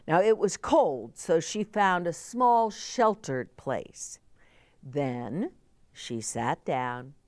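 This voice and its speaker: A woman's voice: sits low, no room sound. A middle-aged woman reading a children's story aloud, expressively, with chatty asides explained simply as if to young children.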